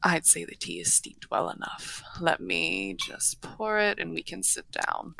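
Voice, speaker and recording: A woman playing a courteous, earnest half-dragon broker in a fantasy audio roleplay, speaking formally and with measured eloquence. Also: lowish voice, sing-song, gravelly, sharp tone, slight background noise, full character voice, poor audio. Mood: earnest, grave. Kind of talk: scripted fantasy audio roleplay, addressing the listener.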